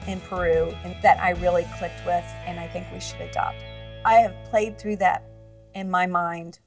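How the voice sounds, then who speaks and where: A woman's voice: lowish voice, flat delivery, moderate pace. A woman in an interview speaking openly and heartfelt about her home life. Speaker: interviewee, rider's wife. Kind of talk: interview testimony. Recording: distant mic with music bed.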